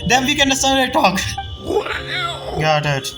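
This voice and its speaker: A woman's voice: high voice, sing-song, moderate pace. A woman streamer giving casual reaction commentary while playing a video game. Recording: loud game music behind voice.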